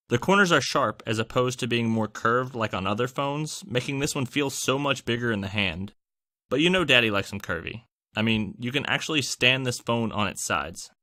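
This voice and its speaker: Bright-voiced man reviewing tech. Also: mid-range voice. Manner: wry, joking asides. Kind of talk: scripted product review narration.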